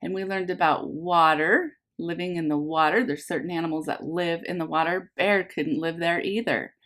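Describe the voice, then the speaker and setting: A woman's voice: on the low side, moderate pace. A woman teacher reading a picture book aloud to young children in a sing-song way, putting simple questions to them as she goes. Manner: animated, sing-song, simple phrasing addressed to small children.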